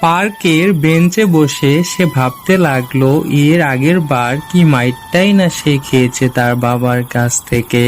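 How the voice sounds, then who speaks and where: A man's voice: medium pitch, a little slow, few pauses, slight background noise. A man narrating a scripted children's cartoon story.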